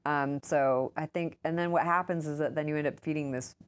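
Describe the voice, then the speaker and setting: Low-voiced woman chatting in an interview. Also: steady tone, fairly quick, slight background noise. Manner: informal, acts out quoted remarks. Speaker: interview guest, author and urban farmer. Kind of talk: conversational interview answers, anecdotal.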